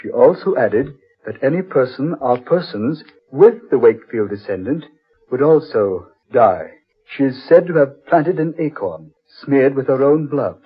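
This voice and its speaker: A man playing an earnest, impressionable character in an old radio horror drama, husky and deliberately dramatic. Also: mid-range voice, lively intonation. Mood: solemn reading. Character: earnest, impressionable man sensing creeping evil. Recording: muffled old radio recording, music behind.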